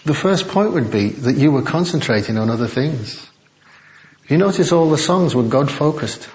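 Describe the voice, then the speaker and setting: An older man preaching a chatty, self-deprecating sermon in a quiet voice to a church congregation on a small microphone. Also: medium pitch, animated, normal speed. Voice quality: quiet, soft-spoken voice. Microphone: small sensitive microphone.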